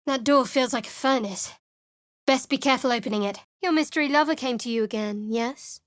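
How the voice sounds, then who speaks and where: A woman's voice: high-pitched, a little fast, no echo, lightly acted. A woman voicing a guarded, sardonic young female cleric in a video game, with a dry, wry and secretive way of speaking. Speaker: game character voice.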